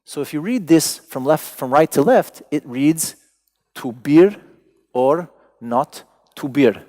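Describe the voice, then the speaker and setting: A man's voice: a little high, lively intonation, takes it slowly, warm tone. A man, a native Persian speaker, giving a talk to an audience, wry and digressive with joking asides. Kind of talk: lecture to an audience.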